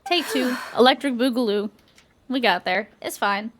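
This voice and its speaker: A woman's voice: a little high, normal speed, slight background noise. A woman streaming a video game, chatting casually and reacting to the gameplay. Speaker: gaming streamer. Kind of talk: casual let's-play commentary. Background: loud game music.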